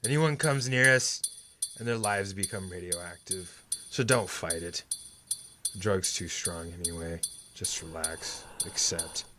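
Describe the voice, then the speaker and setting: A man's voice: deep voice, measured pace, music in the background. A young man playing a bitter, unstable best friend turned villain in a superhero audio drama, theatrical and sardonic. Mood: bleak, resigned. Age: young adult.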